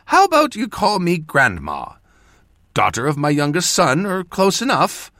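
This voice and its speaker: A man voicing an older, warm, effusive farm mother who exclaims with joy. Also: a little high, huge pitch swings, a little slow, dry recording, clean studio sound, pitched above the performer's own voice, full character voice. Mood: gushing.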